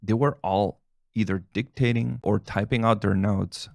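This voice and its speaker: A man's voice: fairly low pitch, fairly even intonation, measured pace, vocal fry, dry recording. A man in his thirties, a family physician and native Spanish speaker, talking casually and rambling, with restarts and chuckles. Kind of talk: casual talk to camera, answering questions.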